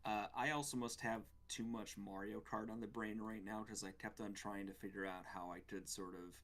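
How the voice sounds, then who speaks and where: A man with a low-pitched voice talks as a co-host on a gaming show. He offers casual review comments in a conversational style. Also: flat delivery, normal speed, a bit bright. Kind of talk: casual game review chat.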